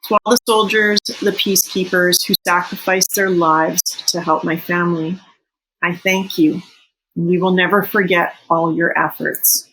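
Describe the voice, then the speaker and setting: Brief: woman, 40s, Canadian-born, Serbo-Croatian first language, slightly low husky voice, earnest reading. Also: steady tone, moderate pace, fairly bright tone, low-quality recording. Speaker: teacher sharing a personal reflection. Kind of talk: read personal reflection.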